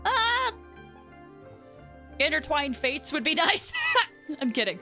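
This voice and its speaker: A woman's voice: sits high, sing-song, slow. A woman livestreamer giving casual, chatty, rambling reactions to a video game. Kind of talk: casual game stream commentary. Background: game music.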